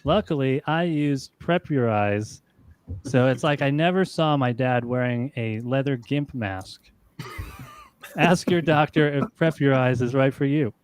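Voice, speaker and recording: A male contestant on a comedy game show speaks in a medium-pitched voice. His delivery is casual and joking, like chat among comics. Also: measured pace, clean tone.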